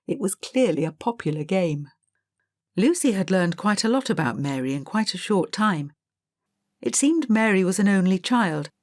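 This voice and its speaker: Low-voiced woman narrating a story. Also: a little mellow, no room sound, clean studio sound. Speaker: audiobook narrator. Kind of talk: scripted children's story narration.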